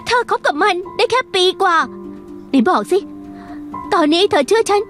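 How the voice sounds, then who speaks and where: A woman's voice: very high-pitched, expressive, moderate pace, fairly bright tone, soft background music. An actress voicing a blunt, fiercely loyal young woman in a dubbed drama, talking in a heated, scolding way. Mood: cold.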